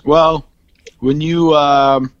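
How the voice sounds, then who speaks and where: A man's voice: a little high, level tone, very slow, no echo, lo-fi sound. A man co-hosting a comedy podcast with casual, jokey banter that slips easily into bits. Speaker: podcast co-host.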